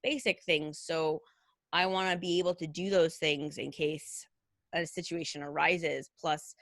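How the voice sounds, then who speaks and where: A woman's voice: low voice, fairly even intonation, a little slow, no room sound, studio quality. A woman in her thirties, a costume designer as interview guest, talking in a chatty way, full of "like" and "you know". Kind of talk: casual conversational interview.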